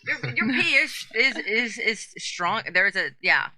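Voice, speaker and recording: A woman co-hosting a casual chat, giggly and quick with exclamations. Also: medium pitch, big pitch swings, bright, forceful tone, dry recording. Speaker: podcast co-host.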